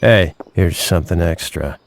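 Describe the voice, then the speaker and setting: A man playing a cool, menacing hitman with terse, casual street swagger in an animated game. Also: low voice, normal speed, no room sound. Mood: cool, laconic. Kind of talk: animated game cutscene dialogue.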